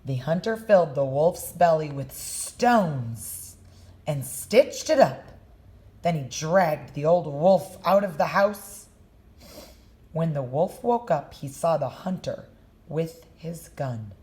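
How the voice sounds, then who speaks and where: A woman reading a children's story aloud with slow, expressive storytelling. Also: sits low. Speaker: storybook reader.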